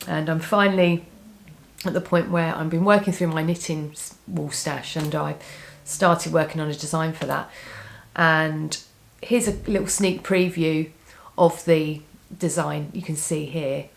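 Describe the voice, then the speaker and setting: Croaky low-voiced woman chatting casually. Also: steady tone, measured pace, slight background noise. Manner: chatty, rambling, frequent restarts and ums. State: has a cold, slightly croaky. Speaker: knitting vlogger. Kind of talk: casual chat to camera.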